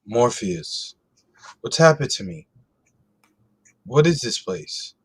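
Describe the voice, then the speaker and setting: A man playing a bewildered young man newly awakened in an amateur radio play, husky and questioning. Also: medium pitch, sing-song, exaggerated voice, poor audio. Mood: confused. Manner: slow, questioning.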